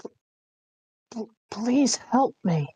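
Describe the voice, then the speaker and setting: Halting, dreamy infected widower played by a man. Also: very high-pitched, expressive, warm tone, husky, dull audio, exaggerated voice. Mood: frightened pleading. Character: infected widower, dreamy, clinging to hope, weakening. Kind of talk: screenplay table read.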